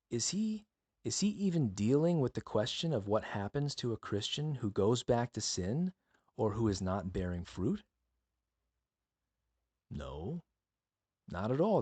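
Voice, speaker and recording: A man delivers an earnest Bible lesson as a solo podcast host. His voice sits at a medium pitch. He speaks emphatically, leaning on rhetorical questions and repeated appeals to the listener. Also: a little mellow, breathy edge.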